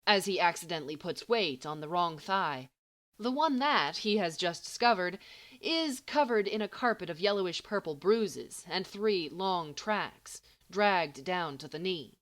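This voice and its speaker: A woman narrates fiction in a voice pitched a little below average. Her reading is expressive and scripted, with deliberate phrasing and emphatic stresses that give the story drama. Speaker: audiobook reader of fan fiction.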